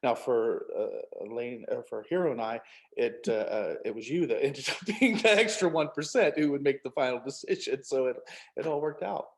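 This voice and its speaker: Male author as interview guest, mid-pitched, emphatic and jokey, talkative. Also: expressive, moderate pace. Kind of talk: casual interview chat.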